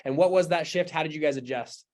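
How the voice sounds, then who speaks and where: A man's voice: on the high side, fast talker, darkish tone. A man hosting an interview, talking in an eager, enthusiastic way, full of fillers like "like" and "you know". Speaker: podcast host, entrepreneur. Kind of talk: casual interview talk.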